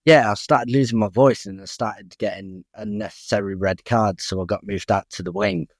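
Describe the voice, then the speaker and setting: Young guy boxer on a podcast, lowish voice, dry close sound, chatty and frank. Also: fairly even intonation, normal speed, dry recording. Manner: open, frank, chatty, restarts with "I'll be honest". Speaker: guest boxer. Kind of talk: candid podcast interview.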